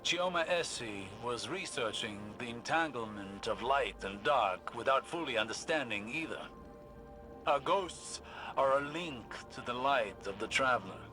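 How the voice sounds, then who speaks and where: An actor playing a learned, cautious male scholar in a science fiction game, speaking formally and deliberately with precise phrasing. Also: mid-range voice, close to an everyday voice. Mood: intent, solemn. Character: grave, learned male scholar in a sci-fi world, measured and cautious. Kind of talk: dramatic video game dialogue.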